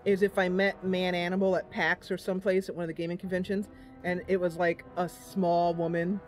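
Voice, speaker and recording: A woman's voice: on the low side, flat delivery, a little fast, darkish tone. A woman hosting a live game stream, chatting casually and narrating in a playful, chatty manner. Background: game music.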